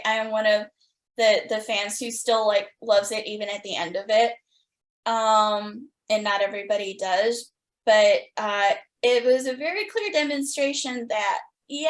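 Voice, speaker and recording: A woman's voice: high voice, fairly bright tone. A woman hosting a podcast interview in casual, opinionated and emphatic conversation.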